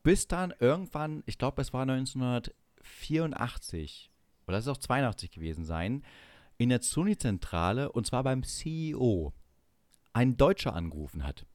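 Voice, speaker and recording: A man's voice: mid-range voice, big pitch swings, moderate pace, a little mellow, no room sound, studio quality. A middle-aged man casually telling stories as a podcast host.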